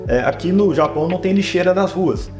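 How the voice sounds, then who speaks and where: A Brazilian man speaks in a somewhat high-set voice as an interview guest. He explains things conversationally and at length, often checking in with little tag questions. The room is audibly echoey, with guitar music playing clearly behind him. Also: brisk. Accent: Brazilian Portuguese. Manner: talkative, explanatory, frequently adds tag questions. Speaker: interview guest, photographer and production director. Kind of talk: conversational interview answers with personal anecdotes.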